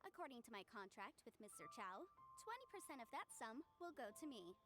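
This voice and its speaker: A woman playing a brisk, assertive young female legal adviser in a video game. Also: on the high side, very animated, over music. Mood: firm, confident. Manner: assertive, formal, crisp phrasing.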